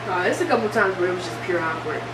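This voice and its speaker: A young woman chatting casually in an animated way, often acting out bits of conversation as she retells them. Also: lowish voice, fast. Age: young adult. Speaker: vlogger. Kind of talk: casual chat. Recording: distant mic, echoey room, steady hiss.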